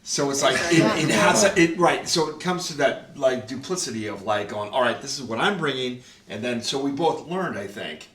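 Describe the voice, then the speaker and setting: A man's voice: medium pitch, lively intonation, moderate pace, few pauses, a bit bright. A man co-producing a radio play, chatting casually at a roundtable and animatedly acting out his own past remarks. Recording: echoey room, mic at a distance.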